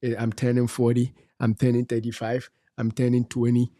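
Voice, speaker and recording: A man's voice: medium pitch, completely flat delivery, normal speed, dark tone. A middle-aged man gives faith-based advice on a talk show. He exhorts his listeners and repeats his key phrases like a preacher. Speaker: co-host.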